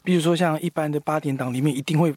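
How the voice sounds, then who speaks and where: Middle-aged man, singer, soft reflective manner, fairly high voice, dry studio sound. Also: steady tone, rapid-fire, no room sound. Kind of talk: casual podcast chat. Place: small studio. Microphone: studio microphone.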